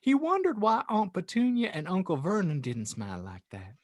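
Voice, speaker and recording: A man's voice: sits high, sing-song, normal speed, a little mellow, no echo. A man hosting a podcast, reading a comic story aloud with dramatic narration and joking banter. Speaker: podcast host and audiobook reader.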